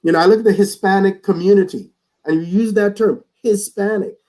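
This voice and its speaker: A man's voice: high-pitched, brisk, darkish tone. A man speaking as a guest in a reflective conversation over a video call, earnest, often repeating words as he starts a thought.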